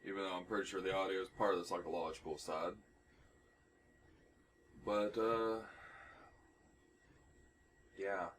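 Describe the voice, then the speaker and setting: A man with a lowish voice gives laid-back streamer commentary on a game. He reacts in short, offhand, salty remarks, a little away from the mic with faint noise behind him. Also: steady tone, a little slow, long pauses, fairly bright tone, far from the mic. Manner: offhand, profane, brief reactions. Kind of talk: casual livestream gameplay commentary.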